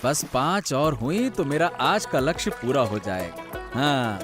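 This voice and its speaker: A man's voice: mid-range voice, expressive, a little slow, over music, lightly acted. A man playing a busy, good-natured village fisherman in a cartoon, chatty and reassuring. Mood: busy, easygoing. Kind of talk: Hindi cartoon dialogue.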